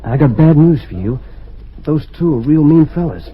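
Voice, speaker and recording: A man's voice: a little high, expressive, moderate pace, natural voice. A man playing a nervy small-time crook, uneasy but tough-talking, in an old-time radio drama. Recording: muffled old radio recording with hiss.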